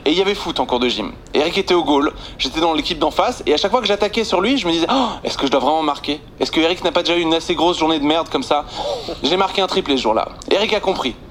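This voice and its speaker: High-voiced Belgian comic with a sly, punchy radio-column delivery; slight noise underneath. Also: male voice, lively intonation, fast, a bit bright. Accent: Belgian French. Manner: sarcastic, punchy joke delivery. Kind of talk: comic monologue on morning radio.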